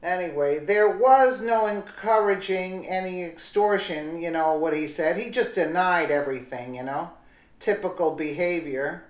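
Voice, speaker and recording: A commentator reads a news article aloud at a middling pitch, tripping over a word now and then and correcting it. Between passages the talk turns loose and chatty. The room around the voice sounds noticeably roomy. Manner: reads aloud with slips and restarts, then chats casually.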